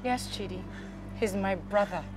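Brief: actress plays wronged pregnant wife, firm and hurt. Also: female voice, mid-range voice, measured pace, music in the background, close to an everyday voice. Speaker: actor playing a wife.

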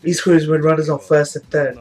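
A young man with a hoarse, flu-worn voice, casually reacting to anime episodes with lots of swearing. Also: fairly high pitch, little pitch movement, a little fast, a little mellow, soft background music. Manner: casual, swears a lot, coughs now and then. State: hoarse, getting over a flu. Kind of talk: live reaction commentary. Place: small indoor room. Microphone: webcam, headphones on.

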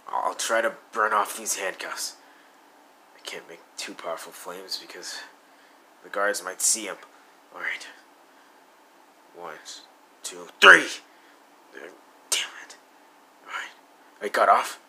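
A man's voice: low voice, gravelly, bright, forceful tone, a little hiss or hum. A man voicing a brooding young male villain with blue fire, muttering and sometimes whispering in a fan audio roleplay.

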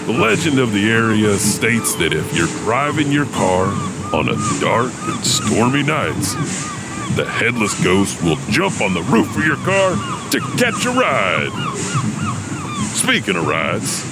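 An actor playing a mysterious janitor who hosts horror tales, narrating with wry, dark humor. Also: male voice, huge pitch swings, measured pace, heavy background noise. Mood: wry, macabre. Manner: wry, darkly joking. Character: mysterious, macabre male janitor horror host. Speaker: host and narrator. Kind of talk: scripted horror storytelling. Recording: loud rumbling ambience behind voice.

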